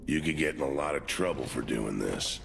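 A man playing a gruff, sardonic battle-hardened soldier in a video game, with a gravelly voice. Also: very deep voice, animated, a little fast, exaggerated voice. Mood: guarded, curt. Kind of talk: video game cutscene acting. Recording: loud battle and engine noise.

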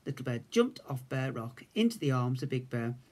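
A man's voice: medium pitch, sing-song, moderate pace, a little mellow. A man in his fifties with an English accent, a teacher reading a story to young children. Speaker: infant school teacher. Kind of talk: children's story read-aloud. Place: indoors, room at home.

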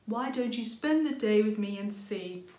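A woman voicing a kindly, playful old grandfather rabbit, calm and gently teasing, in a storybook reading. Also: mid-range voice, normal speed, reverberant, lightly acted.

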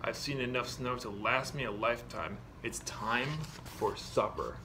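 A man's voice: medium pitch, a little slow, background noise, lightly acted. A man voicing a kind, jolly badger in a children's story.

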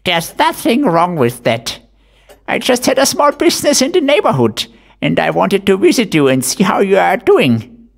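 Sly, coaxing Death figure driving a coffin wagon in a storytelling reading, high, gravelly and sing-song. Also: male voice, wildly swooping pitch, moderate pace, full character voice. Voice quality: high, creaky, strained. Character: Death as a cunning male wagon driver.

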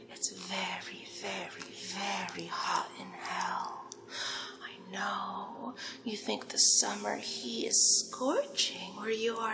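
A woman voicing a flirtatious, doting female devil in a whispered ASMR roleplay, slow and deliberate, playfully commanding. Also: mid-range voice, echoey room, background noise. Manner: slow, deliberate whispering with lingering repeated phrases. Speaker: ASMR performer.

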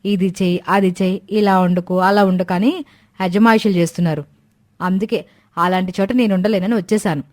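A woman's voice: medium pitch, steady tone, brisk, a little mellow, natural voice. Woman as a haughty, petulant young daughter. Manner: petulant, complaining. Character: haughty, pampered young married daughter, forever complaining.

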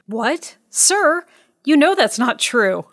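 A cautious female government agent in an audio drama. Her voice sits a little high in a dry recording, and her delivery is earnest and skeptical. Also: very animated, slow, fairly bright tone, no room sound, exaggerated voice. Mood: alarmed, protesting. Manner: earnest, objecting. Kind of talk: scripted audio drama acting.

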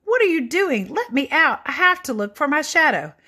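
A woman voicing an excitable, cheerful male groundhog, higher and louder than her own voice. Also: measured pace, exaggerated voice. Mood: alarmed. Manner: eager, exclaiming.

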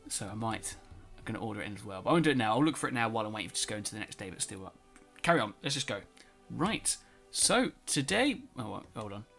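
A man's voice: fairly low pitch, sing-song, fast talker, gravelly, hardly any pauses, a bit bright. A man giving casual let's play commentary, a bit nasal from a cold, reading game text aloud as he plays. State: slightly nasal, sore throat. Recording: faint music behind.

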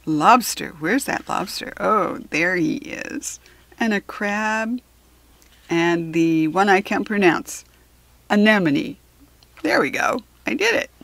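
An American woman reading a children's book aloud in a deliberate, instructive way, adding chatty asides for young listeners. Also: mid-range voice, animated, vocal fry, a bit bright, no echo. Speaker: children's book reader and host.

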